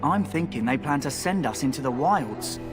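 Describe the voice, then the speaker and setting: Man playing a higher-voiced, wry rogue recruit. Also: animated, moderate pace, natural voice. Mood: wry, wary. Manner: informal, wry, streetwise. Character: cheeky, wary male rogue recruit from a rough village. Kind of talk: video game dialogue. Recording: game music behind the voice.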